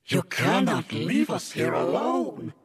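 Frightened alien steward, acted by a man: raised, creaky, breathy voice, slow and pleading. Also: fairly high pitch, wildly swooping pitch, pitched above the performer's own voice, heavily acted.

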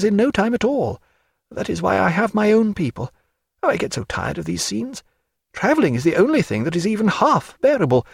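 Man doing a proud young woman, high raised voice, husky, very lively melody, theatrical audiobook reading. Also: dry recording, studio quality, heavily acted. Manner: fiery, theatrical, shifting from bitter to tender. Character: spirited, proud young woman in a kept life.

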